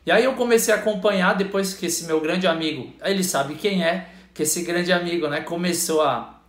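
A man's voice: high-pitched, moderate pace, roomy sound. A Brazilian man hosting an online interview in an enthusiastic, informal, slangy way. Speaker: interview host, physical education professional.

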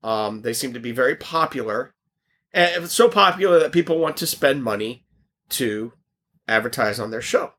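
A man co-hosting a casual podcast while losing his voice, coughing now and then and projecting loudly with many restarts. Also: mid-range voice, animated, moderate pace. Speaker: podcast co-host.

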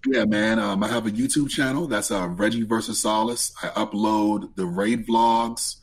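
A young man with a low, raspy voice talks as a guest in a relaxed, easygoing way. His pitch leaps up sharply whenever he gets excited. He sounds like he is on a headset mic during a video call. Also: normal speed. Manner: relaxed storytelling, lively pitch jumps when excited. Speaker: guest, streamer. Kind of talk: casual interview chat.

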